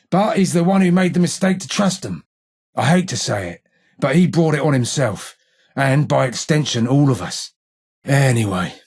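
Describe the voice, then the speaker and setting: The husky old ghoul boss you'd find holding court in an East London gang hideout in a video game, swearing and sneering in a fairly high-set London growl. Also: male voice, heavily acted. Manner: salty, sarcastic Cockney slang. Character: gruff, foul-mouthed, sardonic old London gangster ghoul. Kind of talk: video game dialogue acting.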